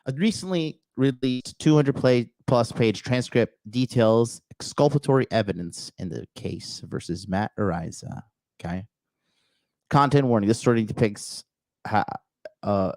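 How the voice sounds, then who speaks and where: A man hosting a livestream, reading an article aloud and answering the chat in a blunt, crude way, with a raspy, slightly nasal voice. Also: mid-range voice, darkish tone, no echo. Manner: blunt, crude, talks back to live chat. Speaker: podcast host.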